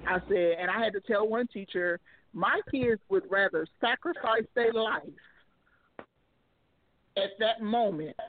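A woman's voice: mid-range voice, measured pace, long pauses. A woman in her thirties, a single mother, talking bluntly and laughing often in a casual call-in chat. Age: 30s. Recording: dry tinny phone line.

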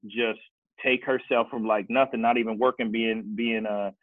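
A man's voice: fairly low pitch, fairly even intonation, moderate pace, no room sound. A man from Kansas City, Missouri, casually telling his life story as a guest, with many restarts. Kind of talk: casual podcast chat. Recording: dry, tinny phone-like sound.

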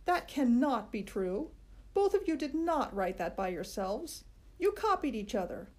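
A woman's voice: sits high, slow pace, a little mellow, voice pushed up. A woman voicing a bossy, know-it-all little schoolgirl with an indignant, scolding manner.

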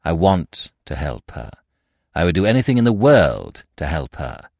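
A flustered middle-aged gentleman from a comic audiobook, stammering and panicky, his voice jumping high in alarm. A man voices him while narrating. Dry, close sound. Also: big pitch swings, moderate pace, gravelly, no room sound, full character voice. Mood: nervous. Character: flustered middle-aged stepfather, nervous and guilty.

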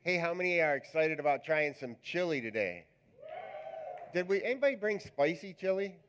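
A male pastor with a raspy voice, preaching a live church sermon in a chatty, joking, rambling way. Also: on the high side, lively intonation, normal speed, a bit bright. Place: church sanctuary.

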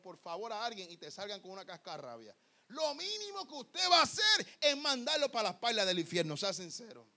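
Young male preacher, high voice, fervent, repetitive delivery. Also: sharp tone. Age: young adult. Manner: fervent, repetitive, calls listeners "amado". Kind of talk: Pentecostal sermon.

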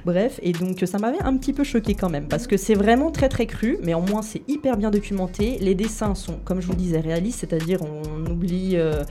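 A woman's voice: medium pitch, a little fast, hardly any pauses, mellow, soft background music. A woman in her twenties, born in Italy and raised in Switzerland, chatting casually at a radio round table and filling her talk with hesitations. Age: 20s. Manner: chatty, self-deprecating, many fillers. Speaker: radio show columnist. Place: radio studio.

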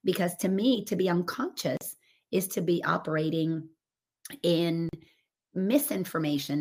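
A woman coach whose voice is raspy after laryngitis, talking earnestly and expansively over a remote call. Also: lowish voice, poor audio. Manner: earnest and expansive, building on images. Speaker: coach and guest. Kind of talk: casual spiritual podcast chat.

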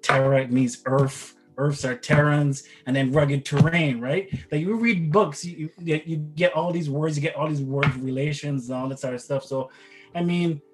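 A man's voice: on the high side, steady tone, moderate pace, faint music behind. A middle-aged man from Barbados, a guest father chatting casually in an interview, rambling with frequent restarts and repeated words.

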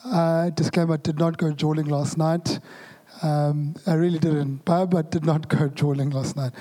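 Preacher, South African accent, hoarse worn voice, mellow, chatty sermon with jokes. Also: mid-range voice, little pitch movement, a little slow. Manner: conversational, joking asides to the congregation. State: hoarse, losing voice.

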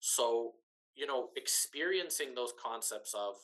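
Bright-voiced man explaining. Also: medium pitch, expressive, a little slow, poor audio. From Canada, Ukrainian diaspora family. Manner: explanatory, repeats and rephrases for emphasis. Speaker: interview guest, businessperson. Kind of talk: long-form conversational interview, recounting family history. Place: remote video link.

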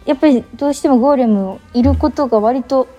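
Female radio co-host, young adult, warm husky tone, relaxed casual chat over background music. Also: high-pitched, fast talker, muffled recording. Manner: attentive, frequent short agreeing responses. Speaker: radio co-host and stage actress. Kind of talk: casual radio chat about a theatre production.

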